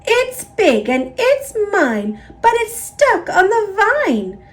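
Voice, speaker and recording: A woman's voice: very high voice, huge pitch swings, slow, pitched above the performer's own voice, heavily acted. A woman voicing a bossy, frustrated witch for a children's storybook, complaining in an insistent singsong. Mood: exasperated, insistent. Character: bossy, frustrated female witch guarding her pumpkin.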